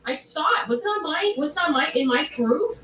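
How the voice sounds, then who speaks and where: A woman giving rambling livestream commentary, halting and repeating herself for emphasis. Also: high voice, expressive, a bit bright. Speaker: online commentator. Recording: roomy, muffled, faint background noise.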